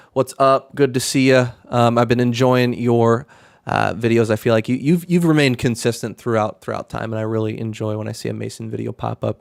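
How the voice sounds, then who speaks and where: A young man hosting a casual live stream, talking in a rambling, monotone way with many fillers and restarts. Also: mid-range voice, vocal fry, no room sound, studio quality. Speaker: live stream host, card seller.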